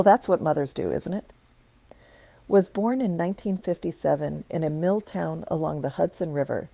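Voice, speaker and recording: A woman narrates a scripted personal story in a measured, thoughtful manner. Her voice sits low with a gravelly edge and a soft, warm tone. The recording is close and dry. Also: no room sound.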